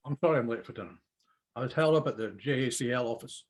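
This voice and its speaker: A man's voice: medium pitch, fast, lightly acted. A man playing an earnest, idealistic young Japanese American son who defends himself at a script reading. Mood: earnest, defensive. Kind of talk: script table read.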